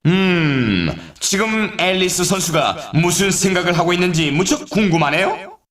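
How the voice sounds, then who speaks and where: Showy snowboard race announcer, video game style, upbeat, swooping intonation, distant from the mic. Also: male voice, high-pitched, big pitch swings, a little slow, fairly bright tone, full character voice. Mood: excited. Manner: dramatic, showy announcer delivery. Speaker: sports announcer. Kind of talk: sports commentary, video game voice acting. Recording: echoey, distant sound.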